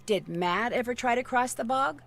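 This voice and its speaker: A woman's voice: a little high, lively intonation, moderate pace, gravelly, fairly bright tone, soft background music. A woman acting an inquisitive young detective in a video game, direct and polite in her questioning. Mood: curious, polite. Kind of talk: scripted game dialogue.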